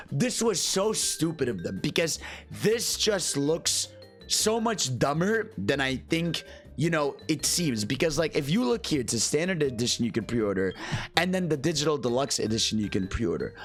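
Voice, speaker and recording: A man giving casual, excited video commentary in a slangy, rambling, gushing fan style over music. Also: a little high. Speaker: gaming video creator.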